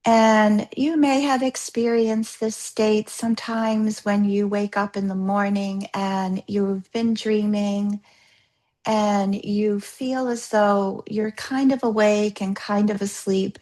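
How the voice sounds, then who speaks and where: A woman leading a guided yoga nidra practice as a yoga therapist, gently instructing in a soothing, unhurried way with pauses. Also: mid-range voice, steady tone.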